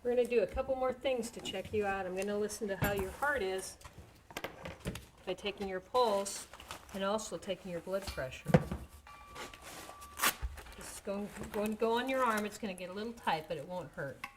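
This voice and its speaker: Female EMT in a training-style demo, soothing a child with simple explanations over clear background noise. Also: medium pitch, normal speed, long pauses. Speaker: EMT with a rescue squad. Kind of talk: educational demonstration talking to a child patient. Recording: noisy, clicks and rustle of gear.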